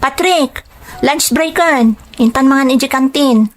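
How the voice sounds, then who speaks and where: A woman's voice: high-pitched, a little slow. A woman playing a friendly young female student in an audio drama, perky and playfully insistent. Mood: friendly, curious.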